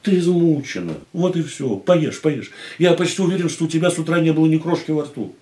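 An actor playing a gentle, devoted man in a radio drama, speaking in a soothing, tender way. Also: on the high side, a little fast, echoey room, close to an everyday voice.

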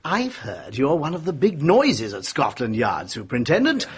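An actor playing a jovial, hearty doctor in a radio drama, with a husky voice and a bluff, sociable way of talking. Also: male voice, mid-range voice, wildly swooping pitch, moderate pace, heavily acted.

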